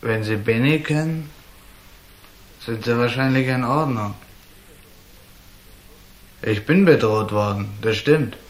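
A man's voice: medium pitch, slow pace, long pauses, lightly acted. A male actor playing a proud, suspicious prizefighter in a radio crime drama, blunt and challenging. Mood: guarded, dismissive.

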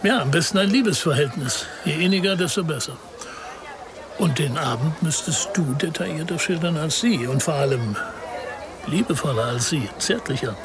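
A man playing a shrewd, unflappable police detective in a radio crime drama, explaining things in a measured, wry way. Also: fairly high pitch, expressive, crowd noise, noisy background, natural voice. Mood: dry, matter-of-fact. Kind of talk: naturalistic radio drama dialogue.

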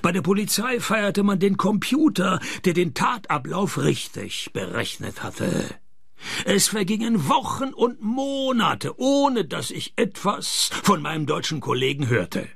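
An actor playing a dry, sardonic private detective who narrates and questions people in a radio play, with a deadpan manner. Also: male voice, sits high, unhurried, creaky, husky, dry recording, full character voice.

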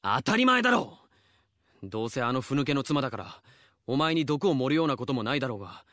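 Proud noble husband in audio drama, curt n sulky, dry close sound. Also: male voice, medium pitch, very animated, quick pace, fairly bright tone, dry recording. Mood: irritated, protective. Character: proud gruff husband, a jealous man of rank. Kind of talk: Japanese audio drama acting.

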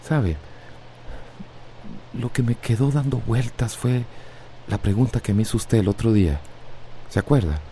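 A man's voice: medium pitch, unhurried, pauses often, mellow, a little hiss or hum, natural voice, rough recording. An actor playing a reserved middle-aged father in a radio drama, speaking hesitantly with a Costa Rican accent. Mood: hesitant, uneasy. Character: reserved middle-aged father trying to mend his family. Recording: radio drama with faint music behind.

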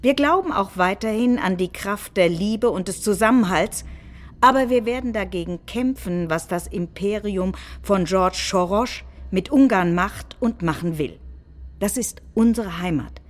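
A combative nationalist leader, played by a woman gives a political speech on stage. The delivery is solemn and declamatory, built to rouse. The voice sits at a middle pitch. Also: unhurried, lightly acted. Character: combative nationalist head of government giving a rousing speech.

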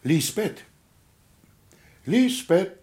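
An actor playing a frail, gentle old village pastor in a radio drama, anxious and musing, hesitant and sometimes sinking toward a whisper. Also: male voice, sits high, big pitch swings, very slow, slightly rough, exaggerated voice. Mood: kindly, animated. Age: older. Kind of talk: German radio drama acting.

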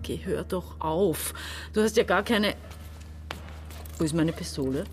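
A woman's voice: on the low side, unhurried, darkish tone, soft background music, close to an everyday voice. An actress playing a domineering, exasperated woman around forty in a radio drama, impatient and sardonic. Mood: stern, urgent. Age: 40s. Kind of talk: radio drama dialogue and monologue.

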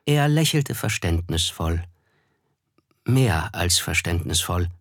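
An actor playing a polite young man who recounts his memories in a German radio drama, measured and reflective. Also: sits low, animated, full character voice. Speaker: narrator and character. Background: music.

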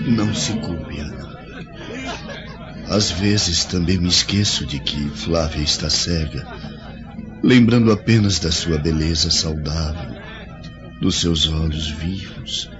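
An actor playing a gentle, mature nobleman humbled by life in a radio drama, measured and earnest. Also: deep voice. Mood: wistful, gentle. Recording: dull lo-fi audio, music and crowd behind.

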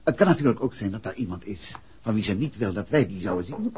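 An actor playing a composed, courteous gentleman detective with a husky voice in a 1960s radio play. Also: on the low side, expressive, fast talker, slight background noise, lightly acted. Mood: thoughtful. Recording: dull old radio recording.

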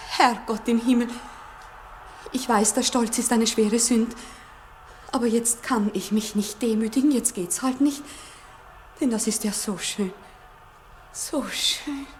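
Lady playing a devout starry-eyed young bride, high fervent voice, faintly hissy old radio play. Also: unhurried, pauses often, natural voice. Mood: overexcited. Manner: fervent, solemn, exclamatory to hushed. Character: pious, rapturous, innocent young bride-to-be. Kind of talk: 1960s German radio drama acting. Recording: 1960s radio recording, faint hiss.

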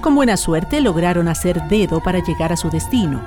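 A woman's voice: on the low side, animated, a little fast, music in the background. A woman with a Chilean accent presenting and narrating a radio drama from a script.

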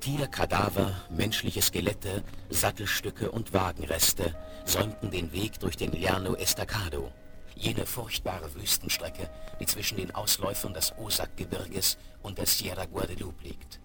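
A man narrating a German western radio play in a grave, deliberate, vivid storytelling style. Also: low voice, creaky. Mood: grave, suspenseful. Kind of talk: dramatic scripted story narration. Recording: 1970s vinyl radio play. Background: loud music.

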